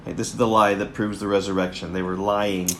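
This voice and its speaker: A man's voice: lowish voice, level tone, brisk. Male pastor host, enthusiastic, chatty asides to listeners, faint hiss in background. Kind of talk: casual chat, then Bible reading.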